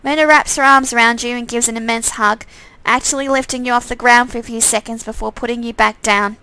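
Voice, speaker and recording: A woman's voice: a little high, steady tone, a little fast, sharp tone, smooth, no echo, rough recording. A woman narrating a visual novel live, reading aloud with casual, self-deprecating asides and correcting herself.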